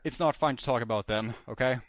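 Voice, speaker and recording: A man's voice: mid-range voice, fast, no room sound, clean studio sound. A man chatting casually as a podcast guest, hesitant and often repeating himself. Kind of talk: casual chat.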